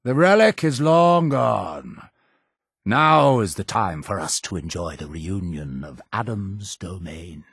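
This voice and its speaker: A man's voice: sits low, lively intonation, slow, vocal fry, a bit bright, slightly rough, no room sound, studio quality, exaggerated voice. An actor playing a zealous, imperious cult leader in a video game, preaching to his flock in a solemn, grandiose manner. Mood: measured, guarded. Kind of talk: theatrical video game character dialogue.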